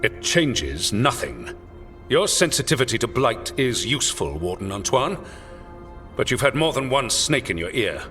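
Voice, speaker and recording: An actor playing a stern, imperious military commander in a video game, scornful, clipped and commanding. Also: male voice, medium pitch, very animated, moderate pace, sharp tone. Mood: dismissive, angry. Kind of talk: dramatic video game cutscene acting. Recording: reverberant hall, faint music behind.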